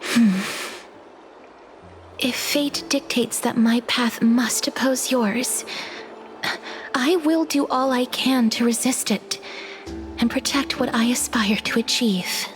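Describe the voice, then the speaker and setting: An earnest young maiden from a video game, speaking softly but firmly. Her voice sits a little high, and clear music plays behind her. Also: takes it slowly, long pauses. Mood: resolute. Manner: soft, earnest and resolute.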